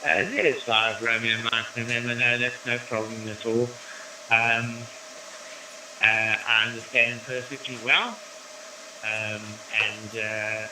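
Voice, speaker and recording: A man from South Africa working as a ham radio operator, slowly spelling out call signs in phonetic code. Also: mid-range voice, reverberant, recorded from a distance, noisy background. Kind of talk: amateur radio contacts.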